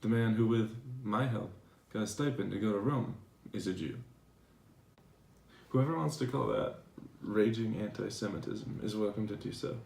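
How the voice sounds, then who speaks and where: A man playing a defensive, aggrieved professor in a dramatized letter reading, speaking in a formal, measured way. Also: fairly low pitch, vocal fry, darkish tone. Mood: indignant, defensive. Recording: roomy, echoing recording.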